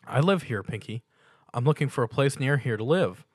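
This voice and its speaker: A man's voice: mid-range voice, animated, brisk, dry recording, clean studio sound, voice pushed up, close to an everyday voice. A man voicing a shy, good-natured young male cartoon pony with an awkward, hesitant way of talking. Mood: earnest. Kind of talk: dramatic fan fiction reading.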